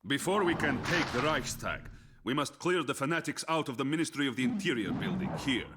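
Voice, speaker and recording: An actor playing a resolute Soviet army commander, giving a formal, forceful battle briefing in a video game. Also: male voice, medium pitch, lively intonation, fairly quick, background noise, natural voice. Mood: stern, businesslike. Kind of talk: scripted military briefing narration.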